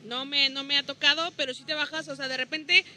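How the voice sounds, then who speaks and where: A high-pitched woman with a Mexican accent, raised in Veracruz, tells stand-up stories in a loose, slangy podcast chat. Her tone is very bright and she swears freely as she talks. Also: steady tone, brisk. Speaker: stand-up comedian, guest.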